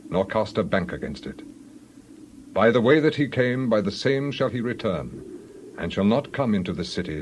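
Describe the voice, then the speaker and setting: An actor playing a stern Hebrew prophet in a dramatized scripture reading, solemnly proclaiming divine judgment in measured, emphatic phrases. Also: male voice, medium pitch, a little mellow, a little hiss or hum, natural voice.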